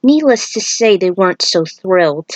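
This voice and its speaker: A woman's voice: fairly low pitch, lively intonation, normal speed, no echo. A woman reading scripted action-story narration aloud, sometimes stumbling and rereading words. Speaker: narrator reading fan fiction.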